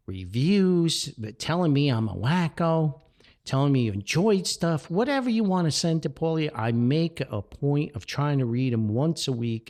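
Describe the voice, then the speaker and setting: A man hosts a casual podcast. His mid-pitched voice moves up and down in a very animated way. He talks in a rambling, folksy monologue, full of effusive asides. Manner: effusive, exclamatory, folksy, digressive. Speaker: podcast host.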